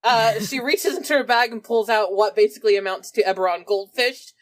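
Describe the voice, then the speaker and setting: A woman player improvises role-play at a tabletop game. Her voice is medium in pitch, and she talks in a casual, chatty way. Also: steady tone, fairly quick.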